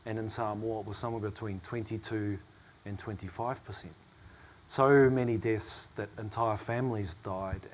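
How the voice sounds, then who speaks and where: A middle-aged academic man chairing a panel discussion, hoarse and losing his voice from a cough, speaking in a reflective, measured way. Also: lowish voice. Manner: reflective, measured, with soft asides and small laughs.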